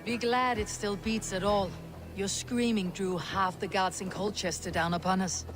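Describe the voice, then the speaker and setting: A woman's voice: medium pitch, fairly even intonation, moderate pace, close to an everyday voice. A woman acting a bold, wry Viking warrior leader in a video game cutscene. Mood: dry, commanding. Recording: music behind the voice.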